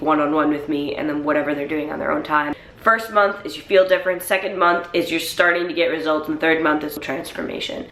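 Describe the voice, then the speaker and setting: Blunt, slightly hoarse young woman vlogger. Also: sits low, a bit bright. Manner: blunt, frank, swears casually. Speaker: fitness vlogger and trainer. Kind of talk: casual Q&A vlog. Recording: roomy echo.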